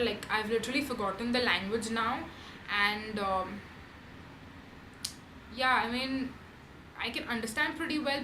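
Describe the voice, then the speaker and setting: Young Indian-accented woman vlogger, slightly high voice, chatty with filler words, recorded in a roomy space with steady background noise. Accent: Indian English. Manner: chatty, frequent "um" and "like". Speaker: beauty vlogger. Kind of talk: casual chat answering tag questions. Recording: echoey room with background noise.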